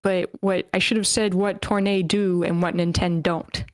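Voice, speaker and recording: Female moderator, lowish voice, dry close sound, wry and self-deprecating in casual conversation. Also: little pitch movement, moderate pace, no echo. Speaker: moderator of an online table read. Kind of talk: casual chat. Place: online meeting.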